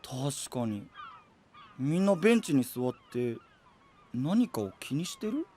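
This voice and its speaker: A man voicing a cheerful, carefree young traveler with a boisterous, naively confident manner in an animated audio drama. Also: mid-range voice, slow pace, soft background music. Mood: curious, easygoing. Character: cheerful, food-loving, carefree young male traveling companion. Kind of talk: animated Japanese voice drama acting.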